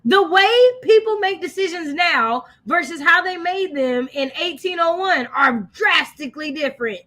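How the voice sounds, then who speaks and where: A woman's voice: very high-pitched, expressive, normal speed, bright, forceful tone. A woman with an American accent co-hosting a casual live book discussion, speaking emphatically and repeating phrases to drive points home. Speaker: co-host of a book discussion livestream.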